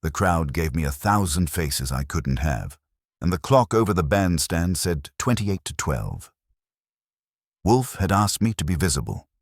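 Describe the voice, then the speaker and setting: A man's voice: sits low, sing-song, a little slow, no echo, studio quality. A man reading first-person detective fiction as a scripted audiobook narration.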